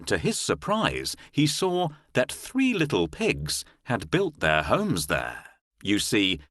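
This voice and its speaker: A man narrating a children's fairy tale in a measured, expressive storytelling style. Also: lowish voice, dry recording, clean studio sound.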